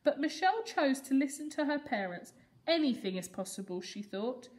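A woman's voice: sits high, animated, normal speed, darkish tone. A young woman reading stories aloud to young children like a teacher, expressively and with explanatory emphasis. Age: young adult. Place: school classroom reading corner, indoors.

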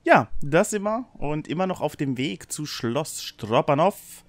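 A man's voice: fairly high pitch, expressive, unhurried, no room sound. Man hosting a tabletop game, dry close sound. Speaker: game master and stream host. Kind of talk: casual game-mastering on a livestream.

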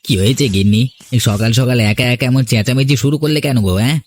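A man voicing a hapless, timid farmhand in a cartoon story, speaking in a hurried way. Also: medium pitch, a little hiss or hum, natural voice. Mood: grumbling. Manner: hurried, stammering at times. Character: hapless, timid male farmhand who grumbles about work.

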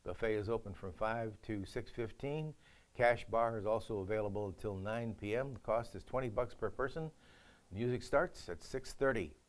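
A man's voice: fairly low pitch, moderate pace. An older man anchoring a local news update in a studio, reading stories with a folksy, joking delivery.

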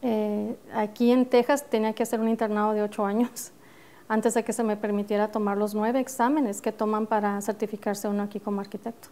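A middle-aged Mexican woman, an architect and studio guest, telling her story with lively determination, with a northern Mexican accent touched by years in the US. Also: medium pitch, brisk. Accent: northern Mexican Spanish, with some American influence. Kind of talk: TV studio interview. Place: TV studio set. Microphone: lapel.

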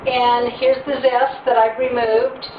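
A woman's voice: a little high, little pitch movement, slow pace. Woman, home cooking host, chatty, dull noisy recording, roomy echo. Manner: chatty, talks while working, self-deprecating asides. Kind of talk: casual cooking demonstration. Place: home kitchen, indoors. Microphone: fixed camera across the room. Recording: echoey, distant, dull, noisy home video.

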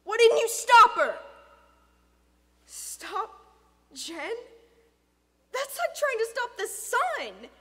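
A woman voicing a stern, suspicious, grieving middle-aged father in an audiobook, forceful and emotional. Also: on the high side, expressive, a little slow, long reverb tail. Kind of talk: dramatic audiobook character acting.

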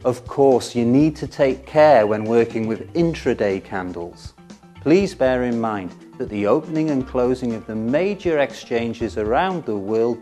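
A man narrates an educational film with very varied intonation. His pitch sits in the middle, and he stresses key words in a rhetorical way. Soft instrumental music runs behind his voice. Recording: music under the voice.

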